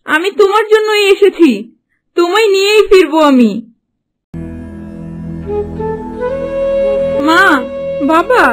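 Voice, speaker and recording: Very high-pitched young fish-bride heroine acted by a woman. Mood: anxious, caring. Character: golden fish wife, young, devoted, cunning and brave. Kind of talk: Bengali fairy-tale cartoon dialogue. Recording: faint music behind the voice.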